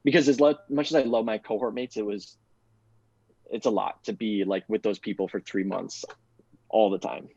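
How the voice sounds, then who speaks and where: A man's voice: on the low side, steady tone, normal speed, gravelly, a little mellow, no echo, muffled recording. A man answering interview questions in a rambling way, filling his sentences with "like". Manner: rambling, heavy use of "like". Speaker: guest, bootcamp graduate.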